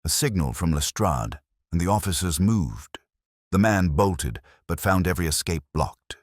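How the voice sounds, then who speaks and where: Very deep male storyteller, expressive delivery. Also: normal speed, no room sound, studio quality. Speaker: narrator of a detective story. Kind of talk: scripted dramatic audiobook narration.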